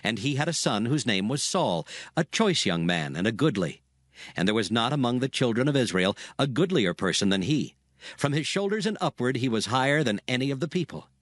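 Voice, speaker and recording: A man reading scripture narration in a measured, formal manner as storyteller. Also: mid-range voice, big pitch swings, fairly bright tone, no echo, studio quality. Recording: faint music behind the voice.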